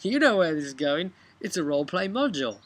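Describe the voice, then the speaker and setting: A man in his thirties talking casually to camera as a game master, conversational and a little halting, thinking aloud as he goes. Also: a little high, big pitch swings, fairly bright tone. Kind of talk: casual vlog recap of a role-playing session. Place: outdoors on a bench beside a brick house.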